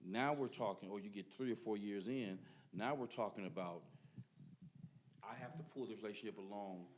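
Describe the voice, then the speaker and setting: A man's voice: lowish voice, moderate pace, slight background noise. A middle-aged man in casual podcast debate, talking emphatically and repeating words when others cut in. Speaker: podcast guest.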